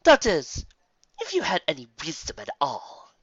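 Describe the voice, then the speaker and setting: Storybook villain: sinister dark alicorn mare, taunting and clever, put on by a male reader. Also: fairly high pitch, wildly swooping pitch, lo-fi sound, pitched above the performer's own voice, heavily acted. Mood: mocking. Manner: hissing, taunting, slow and cold.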